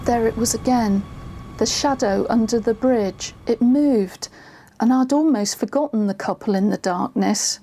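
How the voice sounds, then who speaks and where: An actress playing a woman who narrates events she witnessed, in measured, suspenseful audio drama style. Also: on the high side, warm tone, a little hiss or hum. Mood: suspenseful narration. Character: female storyteller recalling a tense witnessed drama. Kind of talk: scripted first-person drama narration.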